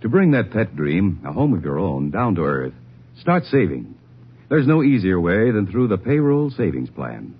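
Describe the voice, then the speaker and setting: Persuasive husky man announcer, low voice, dull old radio. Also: wildly swooping pitch, moderate pace. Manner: persuasive, earnest. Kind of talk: 1950s radio savings-bond ad.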